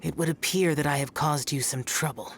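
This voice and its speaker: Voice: mid-range voice, brisk, slight background noise, close to an everyday voice. An actor playing a stoic, world-weary young male immortal demon slayer in a video game, speaking in a solemn, formal, detached way. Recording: music behind the voice. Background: game music.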